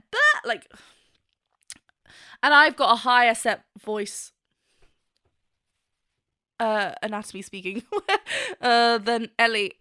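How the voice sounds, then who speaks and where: A woman's voice: fairly high pitch, pushed and bright, no room sound, studio quality. A woman vocal coach reacting to and analysing a song, enthusiastic and laughing often, singing bits to demonstrate.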